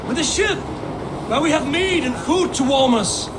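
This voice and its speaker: An actor playing a wary Norse warrior who keeps asking questions, doubtful but loyal. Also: male voice, very high voice, lively intonation, normal speed, background noise. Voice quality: skeptical, questioning. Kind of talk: video game cutscene acting. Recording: game audio under loud score.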